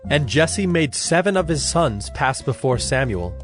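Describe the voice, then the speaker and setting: A man narrating a scripted Bible story in a formal, measured storytelling manner. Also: a little high, very animated. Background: music.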